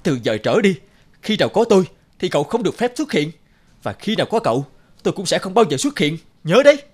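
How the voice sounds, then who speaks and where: Darkness, a brooding night spirit. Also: male voice, high voice, big pitch swings, fast talker, husky, heavily acted. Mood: angry, hostile. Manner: brusque, emphatic, brooding. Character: Darkness, a resentful, lonely young male spirit of night. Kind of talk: animated fairy-tale acting. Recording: prominent music under the voice.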